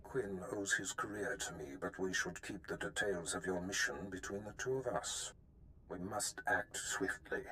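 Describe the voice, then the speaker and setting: An actor playing a commanding, scheming dark lord in a video game, measured and conspiratorial. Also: male voice, low-pitched, a little hiss or hum, poor audio. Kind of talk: cinematic video game voice acting.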